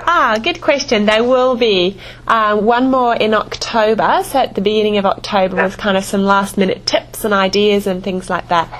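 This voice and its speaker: A woman's voice: mid-range voice, moderate pace, a bit bright, poor audio. A woman with a mid-range voice hosts a conference call. Her manner is informal, friendly and gracious as she speaks to those taking part.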